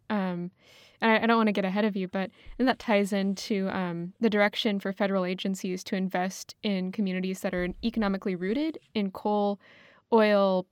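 A woman's voice: medium pitch, clean tone, no room sound. Woman co-hosting, in a dry close recording. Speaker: podcast co-host. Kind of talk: conversational news discussion.

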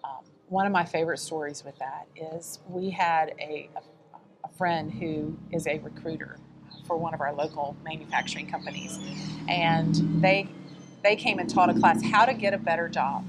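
A woman's voice: low voice, normal speed, noisy background. A woman with a light Southern accent, a guest telling stories in a conversational interview and acting out the people in them. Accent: Southern American. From American South. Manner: animated storyteller, acts out quoted dialogue. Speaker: nonprofit staff guest.

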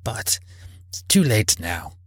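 A man voicing an emotional old man in a storybook reading, exclaiming and repeating himself in a husky, cracking voice. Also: very high voice, very animated, takes it slowly, warm tone, no room sound, clean studio sound, voice pushed up, heavily acted. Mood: wistful regret. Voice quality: husky, cracking between laughing and crying. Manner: exclamatory, breathlessly repetitive.

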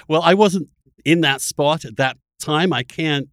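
A man's voice: on the high side, takes it slowly, a bit bright, no echo, studio quality. A middle-aged man hosting a conversational political debate, arguing emphatically in formal phrasing. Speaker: podcast host.

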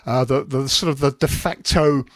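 A man's voice: mid-range voice, fairly even intonation, moderate pace, dry recording, studio quality. A man hosting a podcast interview, asking questions and checking them with tag questions. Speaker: podcast host.

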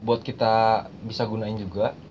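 A man's voice: fairly low pitch, level tone, normal speed, darkish tone. Slangy young man explaining. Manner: slangy, repeats phrases while explaining. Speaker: podcast host. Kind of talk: casual chat explaining language learning. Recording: muffled lo-fi recording with faint noise.

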